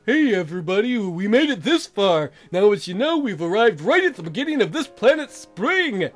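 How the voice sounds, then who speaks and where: An upbeat male colony mayor, put on by a man reading a visual novel. He speaks high and projects like a folksy public announcer. Music plays underneath. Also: big pitch swings, moderate pace. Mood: upbeat, rallying.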